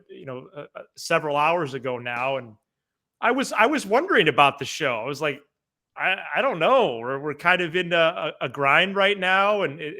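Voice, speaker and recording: A man co-hosting a sports podcast in casual chat, halting and repeating words as he goes. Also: on the high side, bright, forceful tone.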